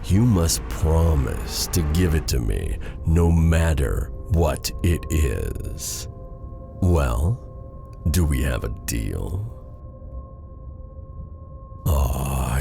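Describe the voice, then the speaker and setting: A man playing an exiled dark sorcerer in a fantasy ASMR audio roleplay, a menacing, flirtatious villain with a theatrical, teasing, deliberate delivery. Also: very deep voice, vocal fry, exaggerated voice.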